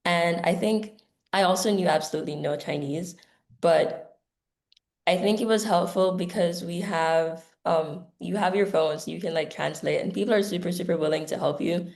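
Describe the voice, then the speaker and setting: A woman's voice: fairly low pitch, normal speed, creaky, a little mellow. A young woman student on a panel, talking easily with many "like"s and "kinda"s. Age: young adult. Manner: easygoing, lots of "like" and "kinda". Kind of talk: casual panel answer.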